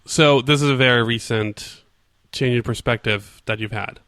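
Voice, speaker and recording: A man's voice: medium pitch, moderate pace, fairly bright tone, clean tone, no echo. Male podcast host, dry close sound. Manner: curious, asks follow-up questions, some stumbles. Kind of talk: casual interview.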